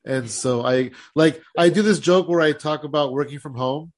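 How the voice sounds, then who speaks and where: A man's voice: a little high, normal speed. Man with a slight lisp, easygoing host. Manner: relaxed, says he slurs with a slight lisp. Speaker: podcast host and comedian. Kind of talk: casual interview chat.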